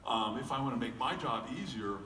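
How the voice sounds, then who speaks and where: A man's voice: mid-range voice, a little fast, pushed and bright. A middle-aged man, a voice actor, talking casually at a convention panel in a joking, self-deprecating way with lots of fillers and restarts. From United States. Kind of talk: casual panel talk to an audience. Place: large convention hall. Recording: echoey hall recording from far off, faint noise.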